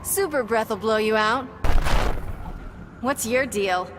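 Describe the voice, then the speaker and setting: A woman's voice: a little high, slow, over loud music. A woman voicing a confident, playful young superheroine who taunts and teases in a video game. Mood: taunting. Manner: sassy, taunting. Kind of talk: video game character dialogue. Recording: very noisy game effects behind.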